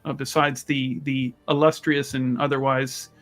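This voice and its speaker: A man's voice: medium pitch, little pitch movement, measured pace, a bit bright, faint music behind. A man playing a tabletop roleplaying game, improvising casually with wordy, playfully formal turns of phrase.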